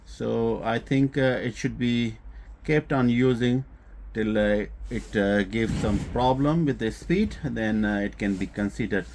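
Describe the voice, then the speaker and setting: A man presents a piece of gear in a casual, unscripted way. His English is non-native and his delivery is halting, full of filled pauses. His pitch sits in a middle range for a man. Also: darkish tone, slight background noise. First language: not English. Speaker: presenter demonstrating a used boombox. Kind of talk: casual unscripted product demonstration.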